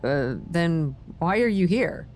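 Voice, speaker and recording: A woman's voice: lowish voice, lively intonation, slow, a little mellow, a little hiss or hum. A woman playing a wry, conflicted wrestler turned general manager in a video game, skeptical and dry in manner. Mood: awkward, friendly. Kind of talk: video game cutscene acting.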